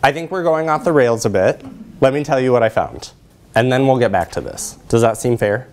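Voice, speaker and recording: A man in his thirties speaks as a presenter at a conference. His pitch sits in the middle of the male range. He explains things in a measured way, addressing a lecture hall. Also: a little mellow. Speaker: presenter, researcher.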